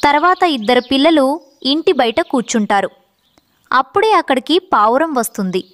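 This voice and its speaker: A woman's voice: high voice, lively intonation, moderate pace, fairly bright tone, no echo. A woman narrator reading a scripted moral story for children.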